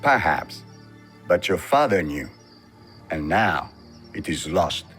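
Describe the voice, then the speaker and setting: An actor playing a grave older Japanese warrior mentor in a video game cutscene, speaking in a measured, cryptic, solemn way. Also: male voice, deep voice, very animated, a bit bright, full character voice. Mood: grave warning. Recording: music behind the dialogue.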